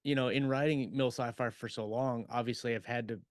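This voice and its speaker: Man, podcast co-host, mid-pitched voice, casual chat, halting delivery, dry recording. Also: steady tone, no echo. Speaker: podcast co-host and science fiction writer. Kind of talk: casual shop-talk chat.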